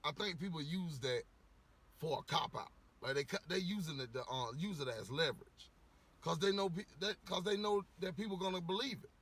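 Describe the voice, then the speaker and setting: A man ranting casually to camera, a little hoarse from losing his voice, rambling with lots of "you know" and swearing bluntly. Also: on the high side, animated, brisk, fairly bright tone. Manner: rambling, repeats words, frequent "you know". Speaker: vlogger giving opinions.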